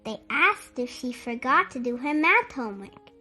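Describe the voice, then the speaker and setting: High, bright-voiced woman reading a storybook. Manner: animated, deliberate, enunciated storytelling. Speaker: storyteller reading a children's book aloud. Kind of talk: expressive read-aloud for young children. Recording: music behind the voice. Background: music.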